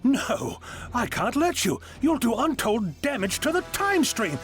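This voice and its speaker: A man's voice: extremely high pitch, sing-song, measured pace, fairly bright tone, faint music behind, exaggerated voice. A man acting an earnest, kindly time-travelling adventurer in an amateur audio drama. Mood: pleading.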